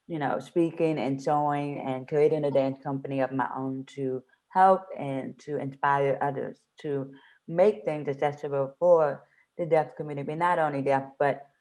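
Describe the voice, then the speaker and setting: A woman's voice: a bass voice, a little mellow, poor audio. A woman introducing herself as a panelist, speaking emphatically and repeating her phrases for weight. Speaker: panelist, dancer.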